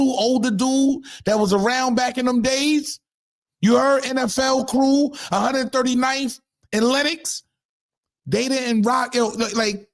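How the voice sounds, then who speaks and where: A man from Harlem with a New York accent, his voice pitched well above most men's. He hosts his own commentary channel, talking straight to the viewers, and he drives his points home by firing the same question again and again. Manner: emphatic, repeats rhetorical questions. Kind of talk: casual commentary to camera.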